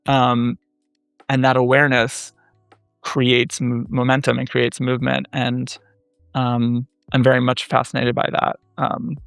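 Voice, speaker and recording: A man in his thirties speaking as a scholar guest in a podcast interview, measured and thoughtful, pausing often with fillers. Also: medium pitch, completely flat delivery, creaky, a bit bright, smooth, no room sound, studio quality, faint music behind. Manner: thoughtful, many "um" pauses, measured. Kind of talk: academic interview conversation.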